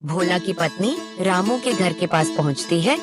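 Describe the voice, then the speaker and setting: Animated woman story narrator over music. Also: lowish voice, moderate pace.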